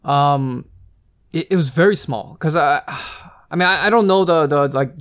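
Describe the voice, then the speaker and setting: A man's voice: on the high side, moderate pace, fairly bright tone. A man talking casually in a group discussion, rambling with many fillers and restarts. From United States.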